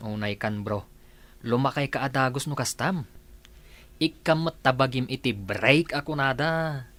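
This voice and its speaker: An easygoing Ilocano guy acted by a man teases his workmate in a radio drama. His voice sits at a middling pitch with a casual, joshing manner. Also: measured pace, fairly bright tone, close to an everyday voice. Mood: teasing. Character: easygoing male buddy working abroad, teasing.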